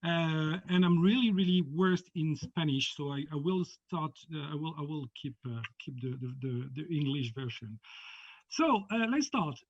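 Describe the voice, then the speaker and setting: A middle-aged man with a French accent gives a halting online lecture over a video call, often repeating words. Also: fairly high pitch, lively intonation, dry recording. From France. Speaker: guest lecturer. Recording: dull, muffled video-call audio.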